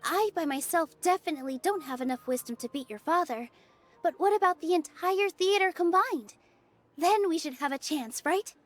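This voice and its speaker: A woman's voice: very high voice, expressive, normal speed, faint music behind. A woman voicing a young, sweet dancer who speaks politely, earnestly and modestly. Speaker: theater dancer. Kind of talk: game voice acting.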